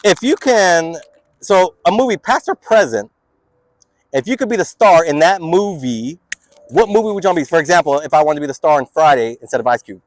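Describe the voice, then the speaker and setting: A man's voice: a little high, animated, a little fast, rough recording. A man hosting a casual interview, asking friendly questions with frequent restarts. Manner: friendly, hesitant, repeats words while framing questions. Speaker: student interviewer and show host.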